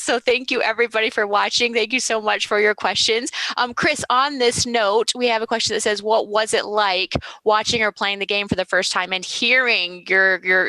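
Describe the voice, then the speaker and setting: A middle-aged woman, a game writer moderating an online panel, speaking casually in an effusive, expressive way. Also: medium pitch, dry recording, low-quality recording. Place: remote video call.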